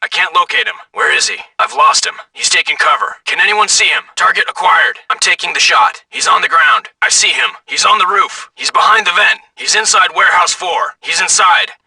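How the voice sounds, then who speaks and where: A man's voice: high-pitched, brisk, creaky, few pauses, bright, forceful tone, no room sound, far from the mic, full character voice, rough recording. A man voicing an alert video game guard, giving clipped, urgent military callouts over a radio. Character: alert enemy guard barking radio reports. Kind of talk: video game combat barks.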